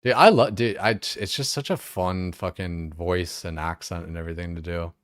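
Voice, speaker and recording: A man's voice: sits low, moderate pace, dry recording, studio quality. A young man streaming a game, chatting casually in a rambling way, full of fillers and swearing. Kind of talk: casual chat while playing.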